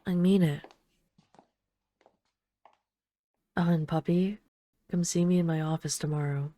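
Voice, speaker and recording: A woman playing an ageless vampire in an intimate audio roleplay, speaking softly and without hurry, tender and wistful with a gently condescending, commanding edge. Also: fairly low pitch, fairly even intonation, fairly quick, no room sound, natural voice.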